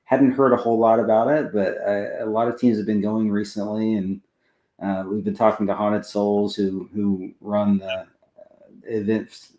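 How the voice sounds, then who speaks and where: A man's voice: on the low side, level tone, normal speed, mellow, reverberant. A man from Oklahoma, a podcast guest, talking in a laid-back way with lots of fillers. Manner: laid-back, many fillers and short agreements. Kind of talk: casual interview chat.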